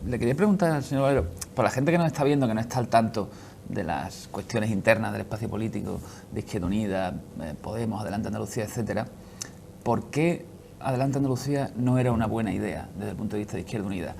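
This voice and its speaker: A man in his thirties, a panel analyst on a TV show, pressing a guest with rapid questions. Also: fairly low pitch, creaky, a little mellow, a little hiss or hum. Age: 30s. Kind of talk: pointed interview questioning.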